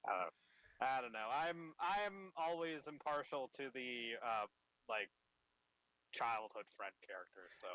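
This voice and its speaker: A man's voice: medium pitch, lively intonation, a little slow, pushed and bright, no room sound, poor audio. A young man chatting casually as a let's play co-host, informal and a bit hesitant in his phrasing. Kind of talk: casual chat. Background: game music.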